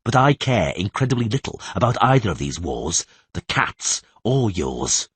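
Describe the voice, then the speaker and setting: A man performs a wounded fantasy monster hunter with a very husky, low voice. He speaks slowly, with cold anger and biting sarcasm, recorded close and dry. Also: sing-song, dry recording, exaggerated voice. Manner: slow, bitterly sarcastic.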